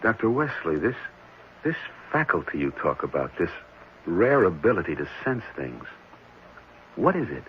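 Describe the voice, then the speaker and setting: Earnest searching man, deep lively voice, halting, probing, 1970s radio drama, dulled old recording. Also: muffled recording, a little hiss or hum. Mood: earnest wonder.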